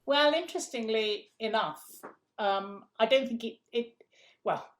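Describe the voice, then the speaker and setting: A woman's voice: a little high, measured pace. A middle-aged woman from England, a food policy guest in an interview, speaking emphatically, repeating key phrases and asking rhetorical questions. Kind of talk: conversational interview answer.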